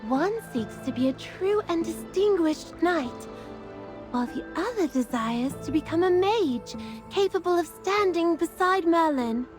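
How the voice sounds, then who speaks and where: A woman's voice: very high-pitched, expressive, a little slow, over music. A woman voicing a playful, mischievous fairy in a video game, with a theatrical, teasing delivery. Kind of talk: scripted game voice acting.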